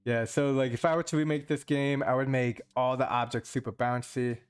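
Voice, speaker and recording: A man's voice: mid-range voice, little pitch movement, a little fast, smooth, faint music behind. A man giving casual, rambling commentary while playing mobile multiplayer games, thinking aloud with many restarts. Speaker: gaming channel host.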